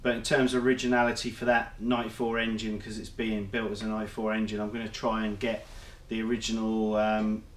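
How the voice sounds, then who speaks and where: Nasal man with a cold, flat delivery. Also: lowish voice, quick pace, few pauses, echoey room, slight background noise. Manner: methodical, explanatory, with fillers. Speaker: motorcycle engine builder. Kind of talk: workshop how-to vlog.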